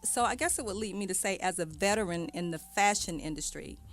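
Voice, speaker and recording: A woman's voice: medium pitch, fairly quick. A woman hosting a casual radio interview, putting questions to a guest in an affirming, conversational way. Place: radio studio. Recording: music playing behind. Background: music.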